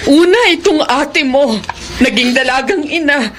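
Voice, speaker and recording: A woman's voice: high voice, a little slow. A woman playing a wounded, pleading middle-aged schoolteacher mother in a Filipino radio drama, with an emotional, melodramatic delivery. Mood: anguished, tearful. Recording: dramatic music behind, some background noise.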